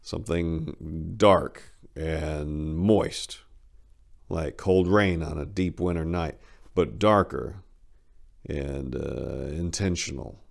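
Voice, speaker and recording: A man's voice: extremely low pitch, slow pace, vocal fry, full character voice. A man voicing a big middle-aged Vietnam veteran in a dramatic story reading, plain-spoken, confessional and sardonic, haunted but dryly wry. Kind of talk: first-person dramatic short-story reading.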